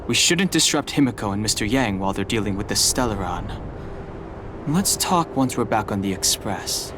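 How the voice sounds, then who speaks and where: A man voicing a calm, level-headed young companion in an anime-style game, measured and matter-of-fact as he explains. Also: fairly low pitch, background noise, natural voice. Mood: composed, serious. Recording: game music behind the voice.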